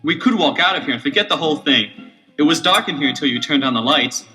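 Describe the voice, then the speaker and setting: Eager, bright-voiced boy sidekick detective. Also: a little high, animated, fast, poor audio. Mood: questioning. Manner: eager, questioning. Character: eager excitable teenage boy sidekick detective, younger brother. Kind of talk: scripted audio adventure acting. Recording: 1970s LP radio-play, faint music.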